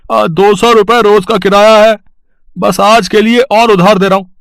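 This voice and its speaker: High-pitched stern cart-rental man voiced by a man. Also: level tone, moderate pace, gravelly, a bit bright, clear, resonant tone, no echo. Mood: stern, warning. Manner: blunt, warning. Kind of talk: cartoon story acting.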